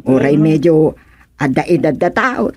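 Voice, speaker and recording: A woman's voice: low voice, expressive, a little slow, darkish tone, slight background noise. An actress with an Ilocano accent playing a chatty middle-aged woman who sells barbecue, calling out cheerfully to customers. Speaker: radio drama actress. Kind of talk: radio drama acting.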